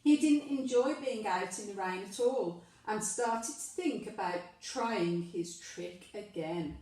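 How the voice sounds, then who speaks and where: Lady reading kids a story, takes it really slow and emphatic, super echoey, bit far from mic. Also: medium pitch, a little mellow. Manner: deliberate, emphatic storytelling for young children. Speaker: primary school storyteller. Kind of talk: children's story read aloud, with questions to listeners. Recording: very echoey room, distant microphone.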